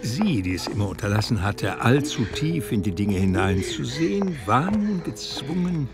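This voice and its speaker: A man narrating a literary radio play in a measured, dramatic storytelling manner. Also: medium pitch, sing-song. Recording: studio production with music underneath. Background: music.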